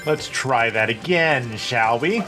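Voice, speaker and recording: A man streaming a video game, rambling, swearing and joking at himself while his voice turns hoarse and tired. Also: mid-range voice, expressive, takes it slowly. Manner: rambling, swearing, self-mocking. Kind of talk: casual gameplay commentary. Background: game music.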